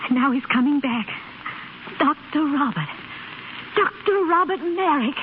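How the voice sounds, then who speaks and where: A frail, eager young patient. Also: female voice, sits high, lively intonation, unhurried. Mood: yearning. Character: frail, ailing young woman patient, blind. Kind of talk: 1930s radio drama acting. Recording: tinny, hissy 1930s radio transcription.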